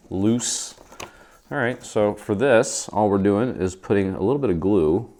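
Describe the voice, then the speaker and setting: A man's voice: low voice, a little mellow. A man in his forties with an American accent, casually hosting a step-by-step craft tutorial in an informal, easygoing way. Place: indoor craft studio.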